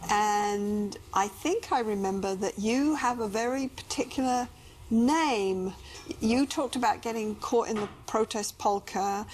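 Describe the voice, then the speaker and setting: A woman working as a couples therapist, guiding a conversation with probing questions and reflecting words back. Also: medium pitch. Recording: faint background noise.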